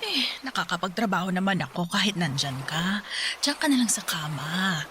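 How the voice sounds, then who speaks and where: An actress playing a busy, bossy senior widow in a radio drama. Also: female voice, lowish voice, expressive, moderate pace, bright, forceful tone. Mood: preoccupied, placating. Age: older. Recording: noisy ambience behind voice.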